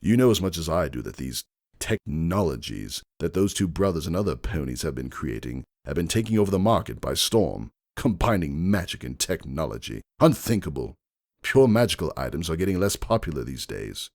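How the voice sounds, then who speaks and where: A man voicing an agitated, indignant aristocratic unicorn stallion in a dramatic fan fiction reading, forceful and accusatory. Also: extremely low pitch, very animated, normal speed, no room sound, studio quality, voice pushed down, full character voice.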